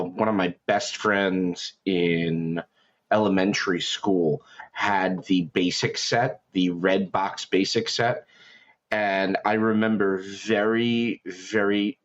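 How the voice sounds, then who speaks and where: A middle-aged man from New York City, a tabletop player, chatting enthusiastically on a podcast. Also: fairly low pitch. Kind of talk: casual podcast chat. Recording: dull lo-fi mic.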